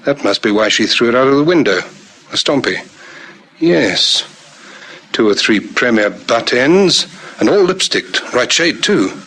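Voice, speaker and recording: An actor playing a methodical, courteous police lieutenant in a radio crime drama. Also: male voice, mid-range voice, very animated, unhurried, fairly bright tone, slightly rough, full character voice. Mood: brisk, satisfied. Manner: businesslike, courteous, thinking aloud. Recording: noisy vintage radio recording.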